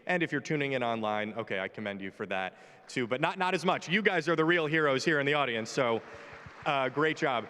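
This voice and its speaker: A man's voice: medium pitch, expressive, a little fast, sharp tone. A man in his twenties speaking on a conference panel in a brash, joking, opinionated way. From United States. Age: 20s. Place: large conference hall stage. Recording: reverberant hall.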